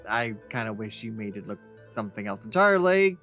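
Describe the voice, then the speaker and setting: A woman with an extremely low voice hosts a game playthrough. She reacts casually to the story, with faint music behind her. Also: sing-song, brisk, a bit bright. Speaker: let's play host.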